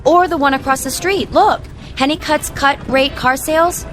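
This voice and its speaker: An actress playing an eager, practical young wife in a naturalistic radio drama. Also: female voice, sits high, moderate pace, over music, natural voice. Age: young adult.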